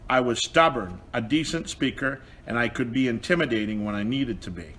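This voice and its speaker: A man playing a repentant apostle in a children's puppet show, explaining things in an earnest, measured way. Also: medium pitch, a bit bright, lightly acted.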